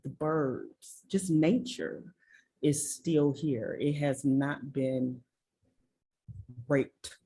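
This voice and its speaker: A woman from Michigan telling her story as an interview guest, slow and earnest, with frequent ums and restarts over a video call. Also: low voice, steady tone, dark tone. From Michigan, USA. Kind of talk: reflective personal storytelling. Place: rural village in southern Belize.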